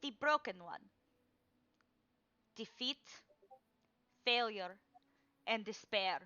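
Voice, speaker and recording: A woman voicing an ominous old fortune-teller in a tabletop game, speaking in dramatic, halting pronouncements. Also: fairly high pitch, expressive, sharp tone, clean tone, dry recording, muffled recording, heavily acted. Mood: grave, questioning. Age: older. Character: cryptic old woman fortune-telling seer, ominous and theatrical.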